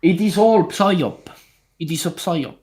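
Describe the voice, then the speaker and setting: A man's voice: high voice, slow pace, a little mellow. Blunt man on a call. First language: Estonian. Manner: blunt, swears freely, rambling storytelling. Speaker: guest. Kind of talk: casual chat on a group call. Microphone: video call.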